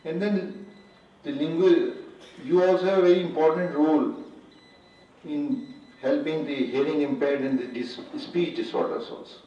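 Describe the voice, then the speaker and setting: An Indian man whose first language is Urdu gives an unscripted formal address at a symposium. He speaks in a halting way and often restarts and corrects himself. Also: on the high side, fairly even intonation, warm tone, a little hiss or hum. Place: large lecture hall. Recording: echoey hall, distant, muffled.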